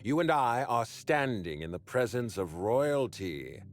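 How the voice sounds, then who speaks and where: An actor playing an unhinged, doting man in a video game, soothing and theatrical with a sinister calm. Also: medium pitch, unhurried, faint music behind. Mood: welcoming, theatrical. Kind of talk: dramatic video game acting.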